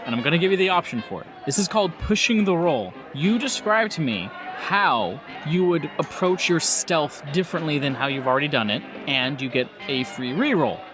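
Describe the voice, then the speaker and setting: A man acts as game master for a tabletop game, narrating scenes and explaining rules off the cuff. His fairly high voice moves up and down in a lively way, over clearly heard music. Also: normal speed, few pauses.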